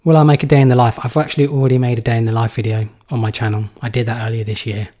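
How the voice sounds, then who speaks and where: A man's voice: mid-range voice, flat delivery, racing, dark tone. A young man from England answering viewer questions in a live stream, casual and rambling with many fillers. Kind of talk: live Q&A chat.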